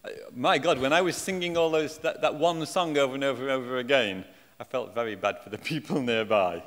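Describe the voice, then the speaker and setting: A man's voice: mid-range voice, brisk, a bit bright. A man in his forties with an English accent, answering audience questions on stage in a self-deprecating, jokey way. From England. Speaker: conference speaker. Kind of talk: audience Q&A after a talk. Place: large auditorium stage. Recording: echoey lecture hall.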